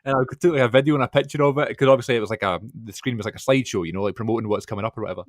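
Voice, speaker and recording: A man co-hosting a podcast, telling an excited story in casual chat. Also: medium pitch, no room sound, clean studio sound. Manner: fast, animated storytelling. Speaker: podcast co-host.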